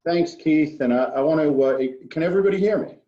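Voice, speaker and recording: A man's voice: fairly high pitch, fairly quick. A man with a New York accent giving an informal financial seminar talk as a guest speaker, with folksy, joking asides. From Queens, New York. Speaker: guest speaker, wealth management expert. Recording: dull lo-fi audio, roomy echo.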